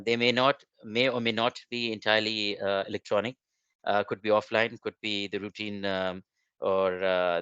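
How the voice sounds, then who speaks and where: Low flat-voiced man with an Urdu background. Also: clear, resonant tone, dry recording. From Pakistan. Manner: halting, many fillers and restarts. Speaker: webinar presenter. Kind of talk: webinar presentation. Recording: dull lo-fi online call.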